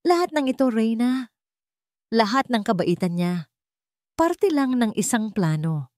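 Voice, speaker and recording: A woman playing a scheming young man in a radio drama, speaking gently with feigned concern and a taunting edge. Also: fairly high pitch, big pitch swings, measured pace, no echo, studio quality, pitched above the performer's own voice. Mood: taunting, smug. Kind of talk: Tagalog radio drama storytelling.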